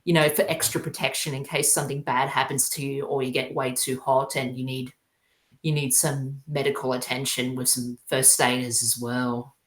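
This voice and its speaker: A woman chatting casually as a podcast guest, halting and often repeating her phrases. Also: rumbling low, level tone. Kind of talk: casual chat. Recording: roomy, echoey sound.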